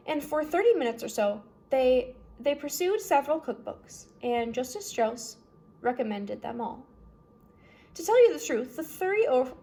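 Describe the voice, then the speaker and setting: A woman's voice: high-pitched, mellow. A woman narrating a children's novel as a read-aloud storyteller.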